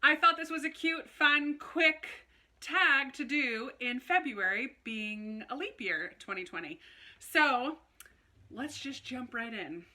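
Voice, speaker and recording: Bright, chatty woman vlogger. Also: high-pitched, slow pace. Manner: animated, informal, self-interrupting asides. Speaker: book review video host. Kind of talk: casual chatty vlog. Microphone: phone camera recording.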